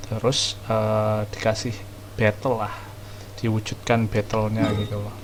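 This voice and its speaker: A man's voice: on the low side, noisy background. A man with an Indonesian accent giving casual, slangy commentary as a gaming streamer. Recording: game music behind voice. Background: video game music.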